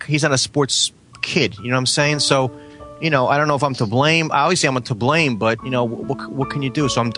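A man chatting casually in a halting, insistent way, repeating himself and sometimes swearing. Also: mid-range voice, fairly bright tone. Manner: halting, repetitive, insistent, profane. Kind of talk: casual chat.

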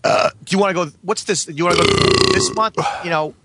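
A man chatting casually in a halting, insistent way, repeating himself and sometimes swearing. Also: high voice, heavy background noise. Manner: halting, repetitive, insistent, profane. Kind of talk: casual chat.

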